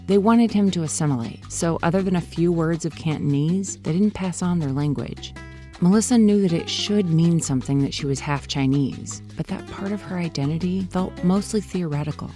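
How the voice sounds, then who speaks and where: Low mellow lady host narrating, soft music under her. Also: normal speed. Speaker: podcast host. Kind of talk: scripted narration.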